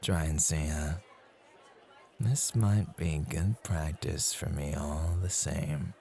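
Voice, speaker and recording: A man's voice: rumbling low, slow pace, creaky, mellow, a faint crowd, heavily acted. A man playing a devoted, competitive and possessive club host in an intimate, teasing ASMR roleplay.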